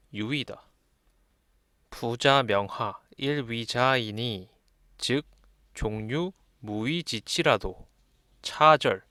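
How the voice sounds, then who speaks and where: A man's voice: medium pitch, fairly bright tone, no room sound. Man giving a dry-sounding explanatory lesson. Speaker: lecturer. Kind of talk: explanatory lesson, reading classical texts aloud and commenting.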